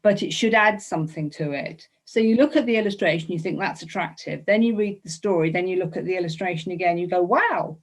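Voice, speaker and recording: A woman's voice: on the low side, a little fast, hardly any pauses. A woman being interviewed as an illustrator, talking casually about her work and thinking aloud with hesitant, repeated phrases. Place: online video call.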